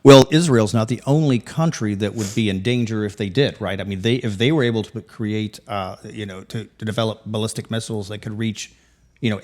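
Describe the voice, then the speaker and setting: A man's voice: on the low side, darkish tone. A man hosting a talk show, interviewing a guest and asking long questions with frequent repeated words.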